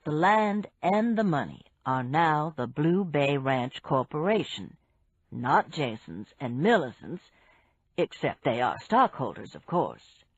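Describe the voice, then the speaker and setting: Lower-voiced, unhurried man, patient explainer, read by a woman, lo-fi. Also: sing-song, husky, dry recording, heavily acted. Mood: explaining. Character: calm middle-aged man explaining business. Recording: dull, dry lo-fi recording.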